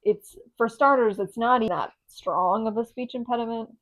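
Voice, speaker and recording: A woman's voice: fairly high pitch, moderate pace, fairly bright tone, rough recording. A young woman vlogging casually on a chest lapel mic, chatty and rambling, with a slight lisp and stutter. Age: young adult. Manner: chatty, rambling, restarts often. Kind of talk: casual vlog chat. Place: home, indoors.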